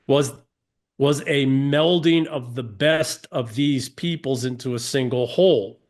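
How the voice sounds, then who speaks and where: A man's voice: medium pitch, unhurried, a little mellow. A man from the United States, a guest on an interview podcast, speaking emphatically, asking rhetorical questions and often restarting sentences.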